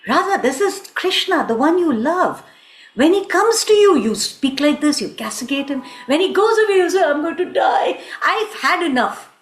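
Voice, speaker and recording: A woman storyteller voicing an exasperated female friend, loudly chiding in a dramatic telling. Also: high voice, expressive, normal speed, voice pushed up. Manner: loud, chiding. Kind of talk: theatrical oral storytelling. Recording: echoey room.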